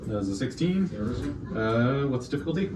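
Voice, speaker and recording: A man's voice: medium pitch, very animated, a little fast. A man playing a tabletop role-playing game, talking casually about rolls and moves. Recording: echoey, distant mic, noisy room.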